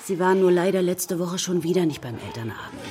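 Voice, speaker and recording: A woman playing a kind, earnest schoolteacher in a children's radio play, speaking in a gentle, careful, encouraging way. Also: fairly low pitch, lively intonation, fast, lightly acted. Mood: polite, concerned.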